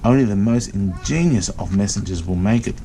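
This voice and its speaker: A man voicing a bold little schoolgirl in a storybook, proclaiming everything grandly with drawn-out drama. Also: lowish voice, mellow, slight background noise, natural voice. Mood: grandly heroic.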